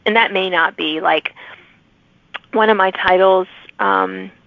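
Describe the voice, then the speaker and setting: A woman's voice: mid-range voice, slow pace, creaky, dry recording. A woman hosting a casual interview, talking informally and thinking aloud in half-finished phrases. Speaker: podcast host and fiction author. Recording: dull, lo-fi close audio.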